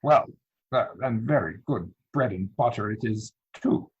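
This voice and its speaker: Man playing flustered gentleman suitor, dull lo-fi audio. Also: lowish voice, a little slow, husky. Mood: lovestruck, miffed. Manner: formal, mannered, indignant delivery. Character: earnest, easily flustered upper-class young gentleman suitor. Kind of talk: dramatic reading of a period stage comedy. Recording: dull lo-fi video-call audio.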